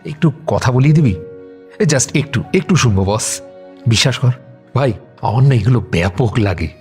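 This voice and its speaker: An actor playing a curious, pensive young amateur sleuth, musing in casual audio-drama dialogue with a husky voice. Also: male voice, medium pitch, expressive, moderate pace, darkish tone, lightly acted. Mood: eager. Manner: musing, hesitant. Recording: music bed under voice.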